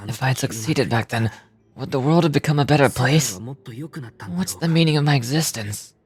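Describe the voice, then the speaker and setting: A man's voice: medium pitch, normal speed, close to an everyday voice. A man voicing an earnest, brooding young man in a video game. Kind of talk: scripted video game voice acting. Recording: game audio with background music.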